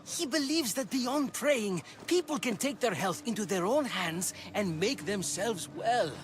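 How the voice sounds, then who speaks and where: A man's voice: sits high, expressive, measured pace, soft background music. A man playing a nervous, earnest apprentice physician in a video game, flustered and eager to please. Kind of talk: video game cutscene dialogue.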